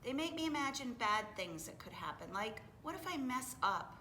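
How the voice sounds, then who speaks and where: A woman's voice: on the high side, big pitch swings, moderate pace, bright, forceful tone, echoey room, a little hiss or hum, pitched above the performer's own voice. A woman voicing an anxious young storybook girl who asks worried questions. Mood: upset, hesitant.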